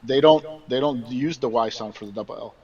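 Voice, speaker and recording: A man's voice: medium pitch, brisk, heavy background noise. Man, emphatic sports co-host, trips over repeated words; sounds far off and dull, like a remote line. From Mexican background. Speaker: podcast co-host. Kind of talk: casual sports chat. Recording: dull distant remote audio.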